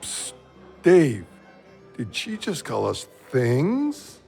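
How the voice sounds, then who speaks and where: A man's voice: mid-range voice, huge pitch swings, extremely slow, gravelly, dark tone, soft background music, exaggerated voice. An actor playing an easygoing, polite, slightly sheepish male bar regular in an audio drama.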